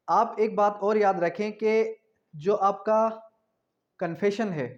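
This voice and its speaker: A man's voice: sits high, lo-fi sound. A man with a Pakistani accent giving a law lecture, explaining didactically with repetition, asking questions and answering them himself, and dictating definitions.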